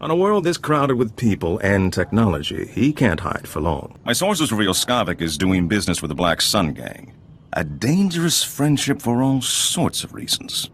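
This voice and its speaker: A refined, lilting, low-voiced informant. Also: male voice, very animated, a bit bright, a little hiss or hum. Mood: courteous, cautioning. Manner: polite, measured, refined. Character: genteel, courteous male underworld informant. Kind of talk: video game dialogue acting.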